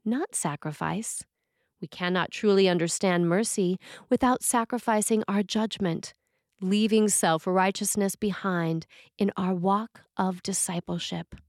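A woman's voice: medium pitch, darkish tone, dry recording. Earnest woman reading a sermon, dry sound. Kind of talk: read sermon.

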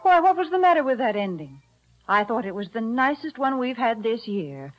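A prim, sweet announcer. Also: female voice, a little high, big pitch swings, moderate pace, faint music behind. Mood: pleading. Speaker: show's sponsor announcer. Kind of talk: 1940s radio acting. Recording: dull old radio recording.

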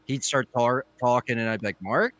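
A man's voice: mid-range voice, brisk, a bit bright. A man chatting casually as a guest on a podcast, joking and laughing readily. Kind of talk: casual chat.

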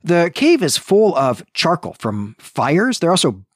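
A man's voice: on the high side, wildly swooping pitch, measured pace, no echo, clean studio sound. A man hosting a podcast, recapping a story conversationally and explaining it with frequent asides. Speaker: podcast host. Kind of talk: conversational literary recap and commentary.

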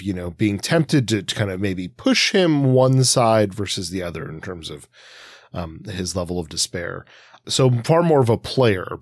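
A man with a fairly low voice talks as a podcast co-host. He works through his analysis casually, in winding, hesitant sentences. The sound is very dry, with no room to it. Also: dry recording. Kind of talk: casual analytical book discussion.

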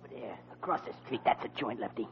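An actor playing a sneaky, brisk burglar in an old radio comedy, hushed and conspiratorial. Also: male voice, mid-range voice, animated, slight background noise, natural voice. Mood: furtive. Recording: muffled vintage radio recording.

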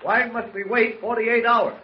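An actor playing a gruff, impatient newspaper boss, blustery and curt, in 1940s radio drama style. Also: male voice, very high voice, steady tone, a little slow. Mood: demanding. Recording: muffled old radio recording, faint noise.

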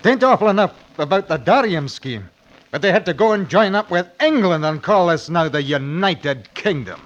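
A young Scottish hothead and brooding sweetheart in vintage radio drama style. His voice sits fairly high and he speaks vehemently in old-fashioned dialect. The recording is dull and dated. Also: lively intonation, moderate pace. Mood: indignant.

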